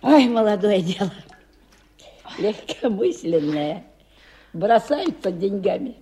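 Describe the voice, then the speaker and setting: A woman's voice: mid-range voice, sing-song, slow, dark tone, faint music behind. An actress playing an earthy, grumbling older cleaning woman in a Soviet radio drama, talking in folksy sayings. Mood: wistful, folksy. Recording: noisy old radio drama recording.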